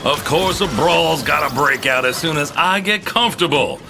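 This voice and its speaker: An actor playing an excitable, friendly podcast superfan in a game world, chatting eagerly straight to the listener. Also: male voice, on the high side, normal speed, creaky, over music. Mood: exasperated, chummy. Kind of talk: animated game promo acting.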